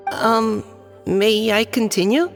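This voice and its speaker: A man's voice: high-pitched, vocal fry, exaggerated voice. An actor playing a shy, nervous young male surveyor in an anime game, reading aloud hesitantly and politely. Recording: long reverb with background music.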